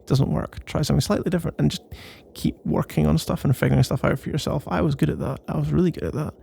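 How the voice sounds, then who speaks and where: A man's voice: a little high, steady tone, fast, vocal fry, mellow, a little hiss or hum. A man doing a casual, rambling ASMR boyfriend roleplay in a playful, mock-boastful and meandering way.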